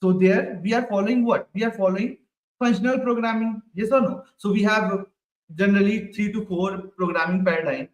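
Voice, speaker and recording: A high-pitched man runs a live online coding class. He keeps asking the class questions and tags "right?" onto his sentences. His voice sits a little away from the mic and rings in an echoey room. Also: steady tone, brisk, far from the mic. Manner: repeats questions to the class, ends lines with "right?". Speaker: programming instructor.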